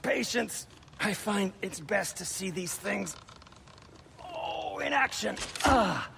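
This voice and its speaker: A prim, squeamish dwarf smith. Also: male voice, sits high, sing-song, bright, forceful tone, heavily acted. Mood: fussy. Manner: fastidious, fretful and prim. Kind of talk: video game cutscene acting. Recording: game audio with clear ambient noise.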